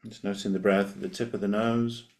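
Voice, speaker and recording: Low-pitched man guiding a meditation. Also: little pitch movement. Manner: gentle, unhurried invitations with long pauses. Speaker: meditation guide. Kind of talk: guided meditation.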